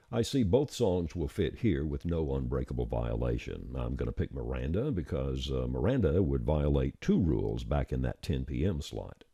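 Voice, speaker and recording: A man narrating a scripted software tutorial with folksy, informal phrasing. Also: extremely low pitch, no room sound, studio quality. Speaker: tutorial presenter.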